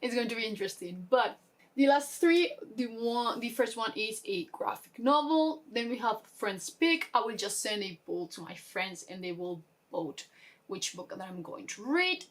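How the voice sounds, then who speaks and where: Higher-voiced woman, casual vlog host. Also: lively intonation, normal speed. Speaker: book vlogger, host. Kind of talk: casual chat explaining a reading game.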